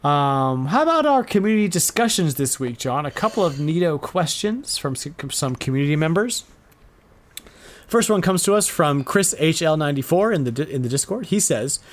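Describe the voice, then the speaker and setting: A man hosting a podcast in a casual chat, informal and emphatic, repeating himself to make a point. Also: a little high. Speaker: podcast host.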